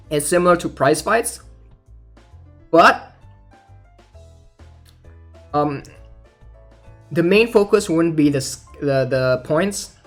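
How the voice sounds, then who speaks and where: A young man giving casual, informal game news commentary as a YouTuber, thinking out loud in a hesitant way. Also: medium pitch. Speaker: gaming YouTuber. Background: music.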